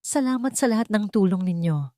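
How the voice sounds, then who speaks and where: A woman voicing a gentle, resolute mother who speaks earnestly and politely. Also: mid-range voice, animated, brisk, no room sound, studio quality, voice pushed up, lightly acted. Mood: grateful.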